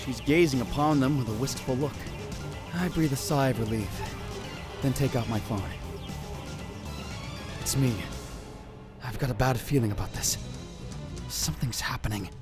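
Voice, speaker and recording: A man's voice: mid-range voice, normal speed, long pauses, close to an everyday voice. Man acting a brooding young conspiracy theorist, music behind. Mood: brooding, secretive. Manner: theatrical, brooding, self-questioning. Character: anxious, dramatic young male conspiracy theorist narrating his adventure. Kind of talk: first-person visual novel narration, acted. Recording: music bed under the voice. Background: background music.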